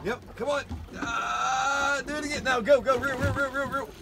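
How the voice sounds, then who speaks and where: A charter captain man coaching anglers on an open boat, calm and encouraging, breaking into quick repeated shouts. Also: very high-pitched, gravelly. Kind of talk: coaching anglers while reeling. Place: open fishing boat on the ocean, outdoors. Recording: loud wind and boat noise.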